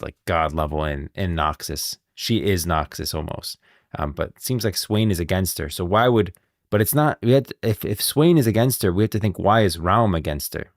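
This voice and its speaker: A man talks as a game lore streamer. His voice is deep and recorded close and dry, and he sounds casual and insistent, reasoning things out aloud. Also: no echo. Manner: informal, thinking aloud, insistent. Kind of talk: casual livestream commentary.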